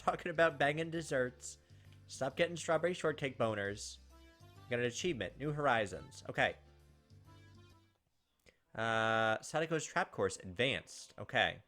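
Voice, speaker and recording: A woman's voice: low-pitched, a bit bright. A woman reading a visual novel aloud as gameplay host, with wry, sardonic casual commentary. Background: game music.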